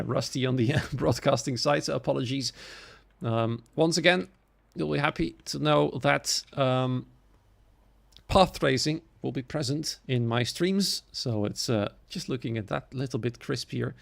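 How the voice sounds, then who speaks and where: A male esports commentator with a Dutch accent calls sim racing live on his own. His voice sits at an average male pitch, with a strong creak running through it. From Netherlands.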